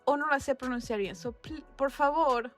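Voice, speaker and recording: A high-pitched woman from Mexico co-hosts a relaxed podcast chat. She laughs easily, pokes fun at her own Spanish and slips English fillers in. Music plays faintly under her voice. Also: moderate pace. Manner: laughing, self-deprecating, mixing English words into Spanish. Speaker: podcast co-host. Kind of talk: casual chat.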